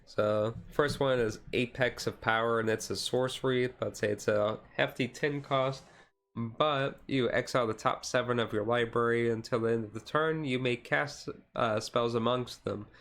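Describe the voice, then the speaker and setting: A man giving a casual, halting ASMR-style commentary as a streamer, explaining things in a rambling way. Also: medium pitch, steady tone, a bit bright. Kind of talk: casual card deck review commentary.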